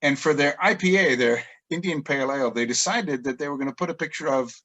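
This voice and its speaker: A man's voice: fairly high pitch, fast talker, fairly bright tone. A middle-aged man guiding a virtual tour, telling chatty stories with frequent ums as he works through his slides. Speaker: tour guide.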